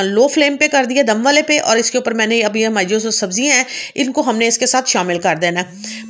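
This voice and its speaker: A woman's voice: fairly high pitch, fairly bright tone. A woman hosting a home cooking channel, patiently explaining each step and often checking in with viewers. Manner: patient, repeats "theek hai" to check in with viewers. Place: home kitchen.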